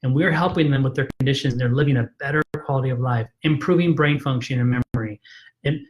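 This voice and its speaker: A man's voice: mid-range voice, fast, low-quality recording. A man, a chiropractor, giving an emphatic, persuasive health talk in a live video, frustrated and repeating himself with frequent "right?" tags.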